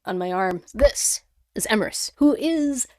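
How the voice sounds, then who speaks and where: A woman hosting a podcast, reading listener stories and reacting in an animated, informal, exclamatory way. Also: medium pitch, slow, no echo. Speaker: podcast host.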